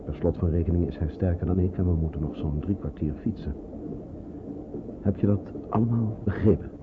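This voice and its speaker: An actor playing a cautious, protective resistance escort in a radio drama, giving measured, reassuring instructions with quiet authority. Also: male voice, low-pitched, close to an everyday voice. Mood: firm, instructing. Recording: muffled, noisy old radio play recording.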